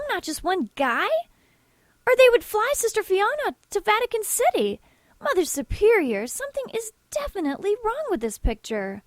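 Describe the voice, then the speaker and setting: A woman's voice: extremely high pitch, no echo. A woman playing an earnest, outspoken nun in an audio drama.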